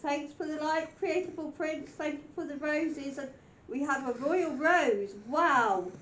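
A high, chatty woman, her voice husky from a cold. She greets livestream viewers and giggles between remarks. She is recorded on a camera mic in a bare stone room that throws the sound back, with a faint hiss underneath. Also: a little slow, a bit bright, roomy sound. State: voice weakened by a cold. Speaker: paranormal investigation host. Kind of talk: casual livestream chat, reading out viewer comments. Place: stone room in an old mine building at night.

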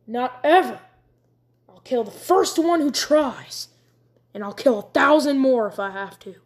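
A woman voicing a hardened, stubborn backwoods father who talks in rough country speech. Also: mid-range voice, fairly even intonation, moderate pace, a little mellow, close to an everyday voice. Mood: furious. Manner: plain rough country talk.

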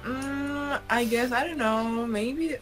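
Lively young woman on video call. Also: high-pitched, slow pace, soft background music. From Uzbekistan. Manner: animated and expressive, exclaims and laughs often. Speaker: video chat user. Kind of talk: casual video chat with strangers. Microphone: webcam video call.

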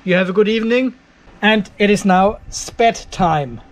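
A man's voice: high-pitched, measured pace. An older man gives casual, chatty technical commentary for a video, explaining things informally step by step. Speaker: host of off-grid DIY channel. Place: cluttered garage workshop, indoors.

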